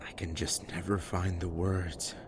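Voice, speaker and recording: A man acting in an audio drama as a bitter, wounded, foul-mouthed survivor with a halting, raw delivery. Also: low-pitched, flat delivery, noisy background. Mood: halting, pained.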